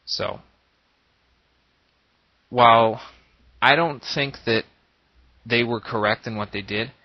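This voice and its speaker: A man's voice: medium pitch, takes it slowly. A man with a slightly nasal voice, as if coming down with a cold, talking earnestly and reflectively in a casual, unscripted monologue as an online gaming video creator. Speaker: YouTube Let's Play creator. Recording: boxy echoing room, dull lo-fi microphone.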